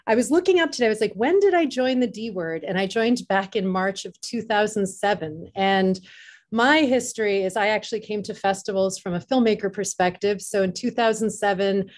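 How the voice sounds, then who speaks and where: A woman with an average-pitched voice talks as a guest on a live video call. She tells her story casually, acting out bits of quoted dialogue as she goes. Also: a little fast. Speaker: interview guest, festival co-founder.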